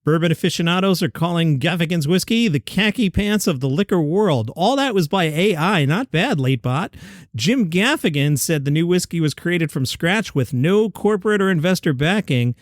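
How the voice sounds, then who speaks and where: A man's voice: fairly high pitch, lively intonation, normal speed, dry recording. A man hosting a comedy news podcast, reading headlines with dry offhand asides, his voice a little worn. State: slightly worn voice.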